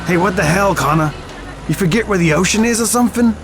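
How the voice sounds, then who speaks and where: A man's voice: high-pitched, fast talker, background noise. A man playing a tough, suspicious, confrontational police detective in an audio drama. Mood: incredulous, angry. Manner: confrontational, incredulous.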